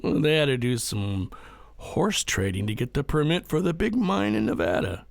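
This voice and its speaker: A man's voice: mid-range voice, big pitch swings, moderate pace, creaky, no room sound. A man playing a brash, hard-drinking ranch owner in an audio drama, blustery, folksy and defiant. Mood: matter-of-fact, blustery. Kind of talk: full-cast audio drama acting.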